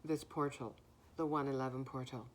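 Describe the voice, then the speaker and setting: A woman giving a spiritual message in a gentle, soothing way, with a naturally deep voice that is somewhat hoarse. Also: fairly even intonation, moderate pace, a little hiss or hum. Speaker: spiritual card reader. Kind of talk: spiritual message, slow and reflective.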